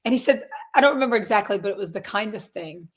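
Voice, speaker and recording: A woman in her twenties with a raspy, hoarse voice, chatting casually as a guest musician from a closet at her grandparents' house. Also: medium pitch, lively intonation, fast. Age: 20s. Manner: upbeat, laughs often, many fillers. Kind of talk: casual interview chat.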